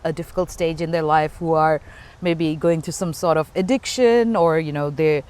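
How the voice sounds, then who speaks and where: A woman's voice: sits low, moderate pace, a little mellow, clean tone. Young woman, Bengali native, interview guest; casual, hesitant, explains with plenty of fillers. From Bangladesh. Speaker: guest, small business owner.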